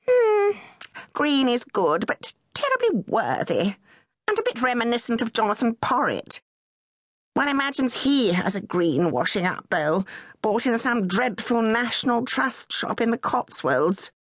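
A woman's voice: fairly high pitch, big pitch swings, measured pace, dry recording, clean studio sound, voice pushed up. A woman doing a blunt, plummy older lady. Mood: breezy. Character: frank, jolly upper-class older woman.

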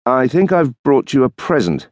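A man's voice: mid-range voice, sing-song, moderate pace, darkish tone, no room sound, voice pushed up, natural voice. A man voicing a playful, joking husband in light, teasing banter.

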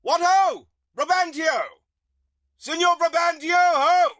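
A man's voice: very high-pitched, steady tone, slow pace, bright, forceful tone, dry recording, studio quality, heavily acted. An actor playing an anxious young male suitor who pleads earnestly and formally in a dramatised audiobook. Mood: calling out.

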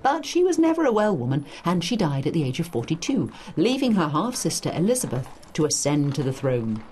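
A woman's voice: low-pitched, big pitch swings, brisk, a little mellow, slight background noise. A woman giving a scripted educational narration for a study guide, in an emphatic storytelling manner.

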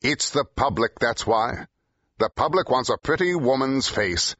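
An actor playing a brash, driven film director in an audiobook drama, with a growling, forceful, emphatic delivery. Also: male voice, lively intonation, no echo, highs cut off. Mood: exasperated, insistent. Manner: forceful, emphatic, fast-tongued pitchman. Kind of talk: full-cast audiobook acting, period 1930s dialogue.

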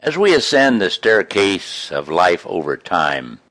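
A man reading his own poems in an expressive, folksy, homespun way, with casual introductions between them. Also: low voice, unhurried, dry recording. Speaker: poet reading own work.

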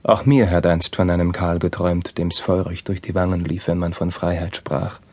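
An actor playing a young, bitter and passionate Spanish crown prince in a classical stage drama, with declamatory, intense delivery. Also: male voice, sits low, flat delivery, normal speed, darkish tone, no room sound. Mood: heavy sorrow. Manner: declamatory, emotionally intense, formal verse delivery.

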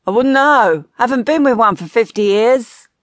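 A woman's voice: fairly high pitch, expressive, moderate pace, dry recording, close to an everyday voice. Woman doing a grumpy, mocking old husband. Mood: teasing. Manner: dry, teasing, mocking.

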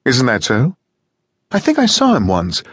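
A man voicing a cheerful, shrill, high-pitched American housewife who gushes and chatters gaily in an audiobook. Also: wildly swooping pitch, unhurried, fairly bright tone, husky, no room sound, clean studio sound, full character voice. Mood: gushing. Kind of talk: audiobook reading.

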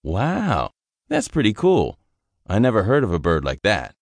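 A man's voice: on the low side, wildly swooping pitch, unhurried, no room sound, voice pushed up, heavily acted. A man voicing a naive, eager young army private who asks earnest questions. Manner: wide-eyed, earnest questioning.

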